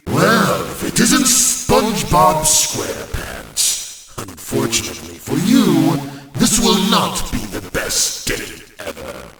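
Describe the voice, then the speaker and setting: Gravelly, wildly theatrical monstrous villain. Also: male voice, mid-range voice, huge pitch swings, slow pace, loud music behind the voice, heavily acted. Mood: sneering. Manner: theatrical, pompous, menacing, archaic wording. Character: grandiose, vengeful villain in a new monstrous body. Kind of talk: villain monologue for a horror game. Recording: echoey reverberant hall, distant, loud noise.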